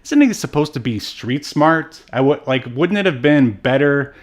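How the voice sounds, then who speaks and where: A man co-hosting a podcast, chatting casually about films in a halting, rambling way. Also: on the high side, lively intonation. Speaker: podcast co-host. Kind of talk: casual movie discussion chat.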